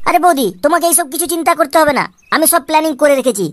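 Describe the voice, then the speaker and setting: A woman's voice: high voice, very fast, no room sound, natural voice. A woman voicing a cheeky, boastful young man full of schemes in a comic cartoon, chatty and wheedling. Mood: boastful, scheming. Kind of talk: comic amateur cartoon dialogue.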